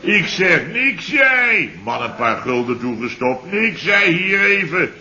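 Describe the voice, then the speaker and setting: An actor playing a blustering, indignant middle-aged boss who complains in exclamations, in old radio comedy style. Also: male voice, high-pitched, very animated, slow pace, noisy background, full character voice. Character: blustering, indignant middle-aged boss grumbling about his holiday cottage. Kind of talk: 1970s Dutch radio comedy acting. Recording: muffled old radio recording.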